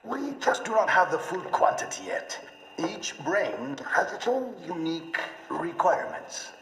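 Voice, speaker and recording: A fawning, greedy crooked doctor for a video game. He is theatrical and highish, swooping widely in an echoey hall. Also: expressive, a little slow, a bit bright, heavily acted. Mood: oily, amused. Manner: oily, theatrical, deferential. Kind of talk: video game cutscene acting.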